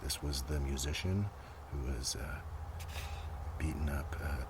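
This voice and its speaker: A man giving a quiet, rambling ASMR show-and-tell, murmuring unhurriedly and drifting in and out of a whisper. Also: rumbling low, measured pace. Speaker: ASMR video host. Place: outdoors in a gentle breeze. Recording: clear outdoor ambient noise. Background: outdoor ambience, breeze.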